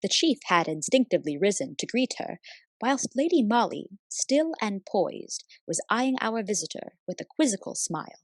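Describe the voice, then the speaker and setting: An actress playing a police assistant who narrates a detective radio play in formal, measured, literary storytelling. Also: female voice, medium pitch, sing-song, no echo. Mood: composed, observant. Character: observant female police assistant recounting the case.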